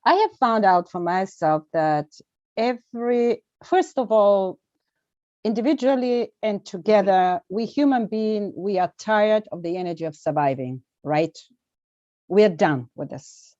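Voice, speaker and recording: A woman with a Bulgarian accent, a guest coach answering in an interview, speaking earnestly and deliberately with emphatic pauses. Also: medium pitch, lively intonation, a little mellow, no room sound, highs cut off. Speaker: guest, well-being coach. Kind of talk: interview conversation.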